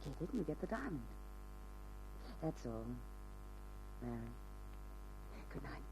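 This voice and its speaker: A man's voice: on the high side, very animated, slow pace, music in the background. A performer playing a cool, self-possessed mystery woman in an old radio crime drama, teasing and in control. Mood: coolly teasing. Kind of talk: 1940s-50s radio drama acting. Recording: noisy old radio recording.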